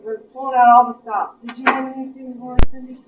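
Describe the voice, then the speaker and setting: A woman's voice: sits high, level tone, brisk, very noisy. Chatty woman, far and roomy. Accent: Appalachian American. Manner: friendly, chatty, lists items off. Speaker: workshop leader handing out essential oil blends. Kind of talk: casual small-group chat. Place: meeting room, small group. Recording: distant roomy recording, muffled.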